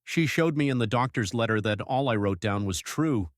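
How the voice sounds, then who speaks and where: A man narrating an audiobook as an earnest young husband reading out his journal in a measured, literary way. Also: medium pitch, lively intonation, dry recording, studio quality, close to an everyday voice. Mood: reflective, resolute.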